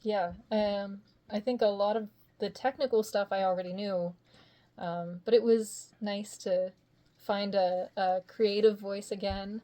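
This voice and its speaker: A woman's voice: medium pitch, fairly even intonation, measured pace, dark tone. Woman, voice actor guest. Chatty, laughs easily, mid-range voice, rambles. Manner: chatty and giggly, with rambling hesitant phrasing. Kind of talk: casual interview chat.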